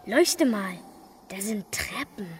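A woman's voice: medium pitch, animated, takes it slowly, a little hiss or hum. A woman playing a timid, curious young boy in a radio play, speaking with wide-eyed hesitation. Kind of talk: German radio play acting.

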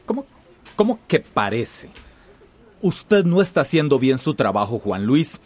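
A bossy scornful executive, husky voice, very animated, radio drama villain. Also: male voice, medium pitch, measured pace. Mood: stern. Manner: imperious, curt, scornful. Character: domineering company boss, contemptuous and threatening.

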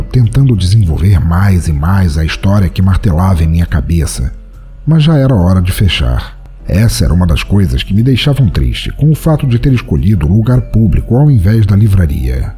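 A man plays a brooding, solitary writer of the night in an audio drama. His voice is low and mellow, with lively movement in pitch. He narrates his wanderings in a measured, introspective, literary manner.

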